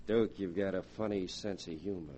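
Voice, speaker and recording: A man's voice: on the low side, darkish tone, heavily acted. A man acting a racket boss in a 1940s radio crime drama, on a crackly vintage recording. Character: male racket boss, smug turning terrified.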